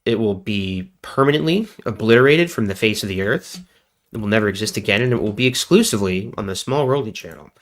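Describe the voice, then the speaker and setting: Low-voiced sick male streamer. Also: expressive, a little fast. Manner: rambling, joking, self-deprecating. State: sick with a cold. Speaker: livestream host. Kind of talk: casual livestream chat.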